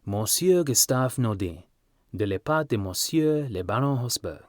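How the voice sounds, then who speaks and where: A man voicing a courteous elderly French gentleman in an audiobook, formal and polite, with a slight French accent. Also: mid-range voice, lively intonation, unhurried, no room sound, studio quality, lightly acted. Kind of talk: audiobook character dialogue.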